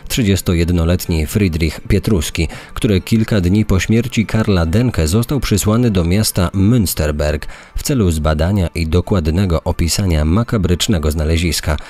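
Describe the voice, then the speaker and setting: A man reading scripted true-crime narration in a measured, serious manner. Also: deep voice, warm tone.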